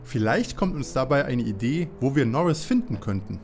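A man's voice: medium pitch, big pitch swings, moderate pace, a little hiss or hum. An actor playing an eager, polite young male detective in a parody audio drama. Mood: matter-of-fact.

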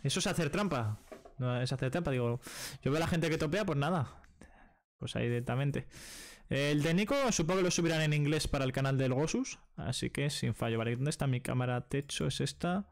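A young man from Spain streams from a small room at home and chats casually as he plays. His voice is mid-pitched, and he thinks aloud with a steady stream of quick check-ins. He is recorded close on a desk microphone. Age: young adult. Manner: chatty, thinking aloud, fillers like "vale" and "¿vale?". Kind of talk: casual live stream chat while playing a card game. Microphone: desk microphone with headset.

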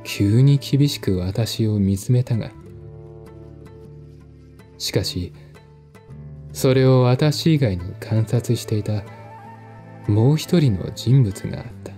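A man narrating a mystery novel as a dramatic audiobook, measured and deliberate, with pauses between phrases. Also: medium pitch, dark tone. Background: faint music.

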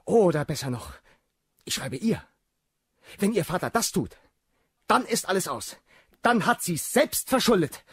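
An actor playing an indignant, self-justifying young gentleman officer in a period radio drama, with a husky voice. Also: high-pitched, sing-song, measured pace, long pauses, no room sound, clean studio sound, heavily acted. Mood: indignant, agitated.

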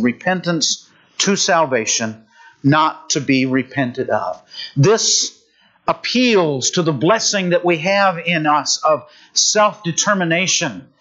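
A man preaching a sermon, reading scripture in an earnest, measured way. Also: fairly high pitch, fairly bright tone, highs cut off.

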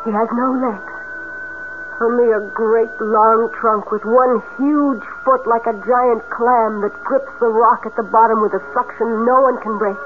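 An actress as a mysterious young woman from the swamp in a 1970s radio play. She speaks slowly, with a dreamy, solemn, haunted air. The sound is tinny and noisy, like an old broadcast. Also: a little high, steady tone, close to an everyday voice. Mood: haunted, eerie. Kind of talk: 1970s radio drama acting.

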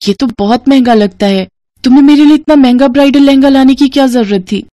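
A woman's voice: high voice, fast talker, mellow, dry recording, studio quality, voice pushed up, lightly acted. A woman voicing a poor, dutiful young woman in a cartoon story, plaintive and earnest. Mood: moved, surprised.

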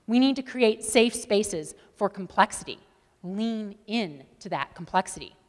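A woman in her thirties giving a motivational keynote speech on stage, speaking deliberately and emphatically with dramatic pauses. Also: mid-range voice. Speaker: climbing expedition leader. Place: large auditorium stage with wooden floor. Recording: echoey hall with long reverb.

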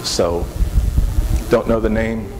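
A man's voice: fairly low pitch, steady tone, slow. A middle-aged man, a pastor, speaking earnestly in prayer and announcements, often repeating words as he goes. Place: large church sanctuary. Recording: reverberant church hall with hiss.

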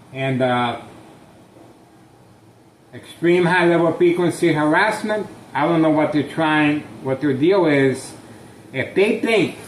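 Male vlogger, slightly high voice, halting and suspicious delivery, echoey phone audio. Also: a little hiss or hum. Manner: halting, many fillers, intense and suspicious. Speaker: vlogger filming his street. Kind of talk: slow narrated street surveillance vlog.

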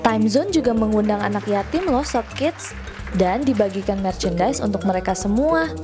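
A woman's voice: mid-range voice, animated, moderate pace. Cheerful woman narrating a kids' show. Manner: upbeat and chatty, speaking directly to young viewers. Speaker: narrator of a children's entertainment news show. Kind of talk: scripted voice-over narration. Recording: voice-over laid over clear background music.

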